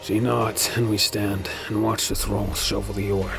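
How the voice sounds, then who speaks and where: Weary, wry pirate storyteller, low and creaky, for a scored sci-fi audio drama. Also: male voice, little pitch movement, measured pace. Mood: hushed, grim. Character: weary, sardonic male space pirate narrating his tale. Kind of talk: first-person audio drama narration with acted dialogue. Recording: dramatic music under the voice. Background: music.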